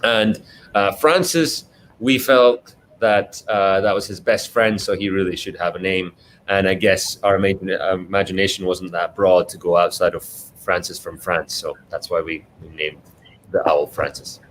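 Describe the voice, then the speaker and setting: A man's voice: low-pitched, fairly even intonation, normal speed, a bit bright, poor audio. A man from Scotland answering questions as a guest author, agreeable and thoughtful as he finds his phrasing. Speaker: children's book author, guest. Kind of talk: live Q&A, conversational answers. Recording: clear background noise, online live stream.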